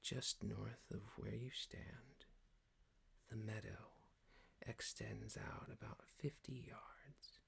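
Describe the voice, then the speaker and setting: A man playing a calm boss who gently leads a guided meditation in a breathy, hushed ASMR whisper, speaking deliberately and soothingly. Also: lowish voice, exaggerated voice.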